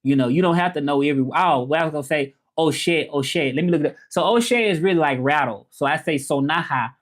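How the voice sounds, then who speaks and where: A man's voice: a little high, fast talker. A man with a Memphis Southern American accent, chatting informally as a livestream host in a loose, rambling way and repeating himself. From Memphis, southern United States. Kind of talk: casual livestream chat about learning languages, reading comments.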